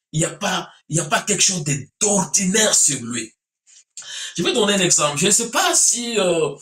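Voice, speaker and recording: A man's voice: on the high side, pushed and bright, reverberant. A man, preaching and teaching emphatically, often repeating his phrases. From Central Africa. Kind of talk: sermon-like teaching in a religious discussion.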